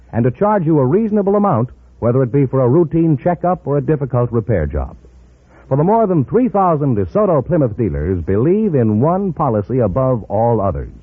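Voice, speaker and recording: A man's voice: medium pitch, husky, no echo. A man working as an announcer in a 1950s radio style. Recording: old radio, narrow band.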